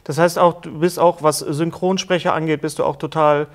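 A middle-aged man hosting an interview, chatting casually and feeling his way through halting questions. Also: on the high side, steady tone. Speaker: talk show host, interviewer. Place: small indoor talk-show set, seated at a table.

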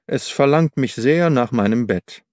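A man voicing a weary, earnest and dignified gentleman in an audiobook, speaking in formal, measured phrases. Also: mid-range voice, lively intonation, no room sound, clean studio sound, natural voice. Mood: earnest, pained. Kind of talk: audiobook character dialogue.

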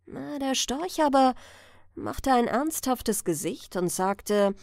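A woman reading a fairy tale aloud as an audiobook narrator, in an expressive storytelling style. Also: fairly high pitch, takes it slowly, no room sound, studio quality. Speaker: audiobook reader.

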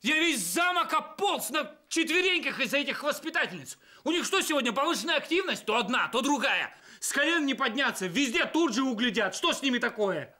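An actor playing a frivolous young baron who complains theatrically in a televised costume comedy. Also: male voice, extremely high pitch, very animated, a little fast, hardly any pauses, bright, forceful tone, heavily acted. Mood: whiny.

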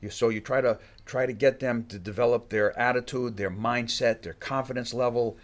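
A man's voice: mid-range voice, fairly even intonation. An older man, a fight coach, talking in a podcast studio and often restarting his sentences. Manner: halting, many restarts, acts out fighters' thoughts. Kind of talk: casual interview talk. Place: studio room with bookshelves. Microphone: studio mic on a boom arm.

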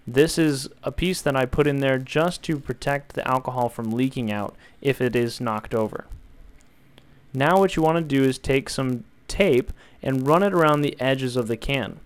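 A young man calmly walking viewers through a build, step by step, in an instructional voice-over. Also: mid-range voice, normal speed, clear, resonant tone. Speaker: DIY tutorial maker.

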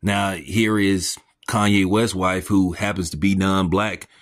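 Host, male, very low voice, blunt mocking rant, crude commentary. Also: fairly even intonation, unhurried. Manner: pitch jumps up when incredulous. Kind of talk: ranting commentary monologue.

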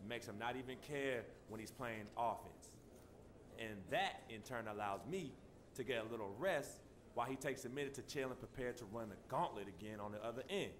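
A man's voice: medium pitch, fairly quick, slight background noise, natural voice. A man acts as a cocky young pro athlete at a video game press conference. He talks in brash, slangy, dismissive answers, with faint room ambience behind him. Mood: cocky, insistent.

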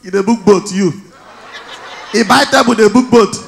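Nigerian comedian on stage, high bright voice, gravelly edge, shouts the punchlines. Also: male voice, moderate pace, a little hiss or hum. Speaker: stand-up comedian. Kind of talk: stand-up comedy in Pidgin. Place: large indoor event hall on stage. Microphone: handheld mic.

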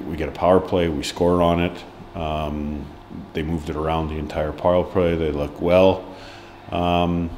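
Deep-voiced man, halting hockey coach. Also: a little mellow, a little hiss or hum. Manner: halting, frequent ums and restarts, frank. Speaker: ice hockey head coach. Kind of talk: post-match press interview. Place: indoor press room before a sponsor board.

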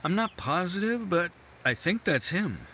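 A man's voice: sits high, sing-song, unhurried, sharp tone, slight background noise, heavily acted. A man playing a nervous, scheming newspaperman. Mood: guarded. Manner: smooth but hesitant, stammering "I, I". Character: nervous, scheming middle-aged male newspaperman. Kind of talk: 1950s radio mystery acting. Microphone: headset.

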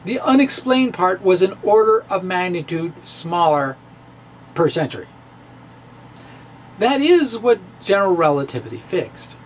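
A middle-aged man delivers a science lecture like a dramatic reading. His pitch jumps around in a lively, emphatic way, and he moves slowly with halting pauses. There is faint noise behind his voice. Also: poor audio. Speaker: science video blogger. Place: indoor room with bookshelves. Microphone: handheld-style microphone on a stand, close in front.

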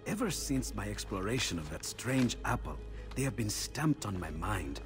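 An actor playing a courteous, excitable middle-aged Renaissance inventor and painter in a video game. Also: male voice, on the low side, moderate pace, music in the background. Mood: musing. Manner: courteous, expressive, self-deprecating. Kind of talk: dramatic video game cutscene acting.

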